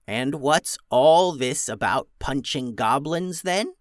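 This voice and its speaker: A man's voice: medium pitch, animated, slow pace, no room sound, clean studio sound. A man voicing an old female goblin leader in a storybook reading, shrewd and needling as she fires wry questions. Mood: mocking. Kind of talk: storybook character voice.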